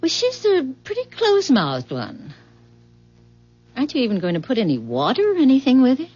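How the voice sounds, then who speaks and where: An actress playing a curious, plain-spoken, puzzled wife in a 1970s radio drama, on a muffled recording. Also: female voice, high-pitched, huge pitch swings, fairly quick, a little mellow, husky, heavily acted. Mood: curious, brisk.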